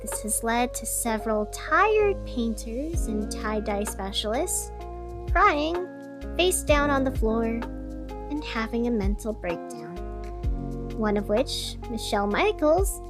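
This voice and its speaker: A high-pitched female radio host played by a voice actress takes her time with each line. She is wry and casually rambling, reading small-town community news in a scripted audio drama, with music underneath. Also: animated, unhurried. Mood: wry reporting.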